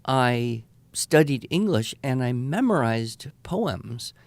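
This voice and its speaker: A man co-hosting an education podcast, telling anecdotes in a relaxed chat and raising his voice when he quotes people. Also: mid-range voice, slow pace, no echo. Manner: animated anecdotes, voice lifts when quoting. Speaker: podcast co-host, writing teacher. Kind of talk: conversational storytelling.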